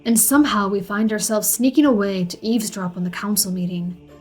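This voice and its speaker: A woman voicing a sulky, wry male drow elf who narrates a fantasy audio story in a gravelly voice. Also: medium pitch, steady tone, a little fast, a little mellow, faint music behind, lightly acted. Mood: wry, measured.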